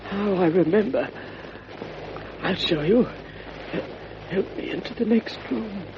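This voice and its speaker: Faltering, high-voiced frightened crook. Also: male voice, creaky, heavily acted. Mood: dying, gasping. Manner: halting, faltering, pleading. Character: frightened, weak male crook pleading for his life. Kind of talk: old-time radio drama acting. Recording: noisy, muffled vintage radio recording.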